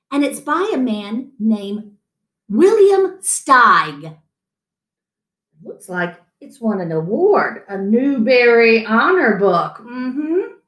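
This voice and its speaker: Middle-aged female children's storyteller, higher-pitched, very lively delivery. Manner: animated, chatting to an unseen guest between lines. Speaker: children's story time reader. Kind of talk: expressive picture-book read-aloud. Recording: roomy echo.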